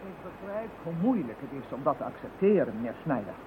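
Calm, persuasive modern witch; thin lo-fi tinny recording. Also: low-pitched, quick pace, background noise. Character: calm earnest woman, a practicing witch. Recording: tinny lo-fi old radio recording.

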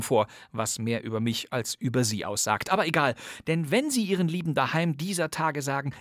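A man's voice: a little high, no room sound. A man hosting a crime radio-play podcast, presenting from a script in a wry, playful and chatty manner, recorded on a studio mic. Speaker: podcast host.

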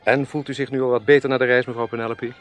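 A man's voice: medium pitch, flat delivery, racing, faint music behind, lightly acted. An actor in a radio drama playing a jovial, courting oil salesman, courteous, chatty and effusive. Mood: jovial, forward. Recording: noisy old radio play recording.

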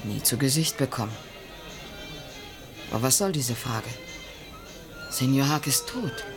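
A woman's voice: a bass voice, expressive, a little slow, over music. An actress playing a proud, wary young businesswoman in a German radio play, cool and pointed in her delivery. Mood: insistent. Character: proud, wary young businesswoman seeking independence from rich father.

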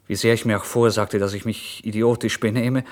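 A man's voice: lowish voice, steady tone, brisk, natural voice. An actor playing an impoverished, irritable and impulsive male writer, telling his story in a theatrical, emphatic radio monologue. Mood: feverish mischief. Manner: theatrical, emphatic, swinging between hushed and loud. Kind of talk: literary first-person radio monologue, dramatised narration.

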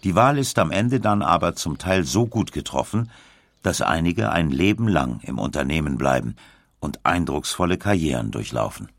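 A man reading scripted documentary narration in a measured, clearly articulated way. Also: very deep voice, lively intonation, no room sound. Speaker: audiobook narrator.

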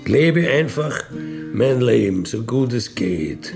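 Slow, creaky-voiced Austrian man. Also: mid-range voice, lively intonation, music in the background. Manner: halting, colloquial, with questioning tags. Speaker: former prisoner telling their life story. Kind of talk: reflective autobiographical monologue.

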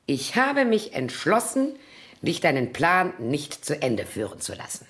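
A woman's voice: low voice, wildly swooping pitch, measured pace, a bit bright, full character voice. An actress playing a boozy, nervous older woman who is a sly, needling blackmailer in a theatrical 1970s German radio crime drama. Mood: sly, threatening.

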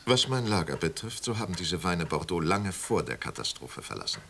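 A smug wine auction magnate, suave and sure of himself. The voice sits very low but swings widely with a bright, sharp edge. It is a radio play scene with faint noise behind it. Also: male voice, big pitch swings, normal speed, gravelly, heavily acted. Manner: suave, self-assured, salesman-like.

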